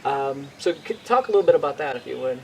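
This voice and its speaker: A man hosting an interview, asking casual questions in a halting way with many fillers and repeated words. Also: medium pitch, animated, gravelly, warm tone, slight background noise, rough recording. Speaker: interviewer, host.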